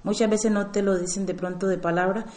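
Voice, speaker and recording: A woman with a mellow, warm tone speaks in an interview. She is reflective and keeps returning to the same phrases as she thinks them through. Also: medium pitch, little pitch movement, brisk. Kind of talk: interview testimony.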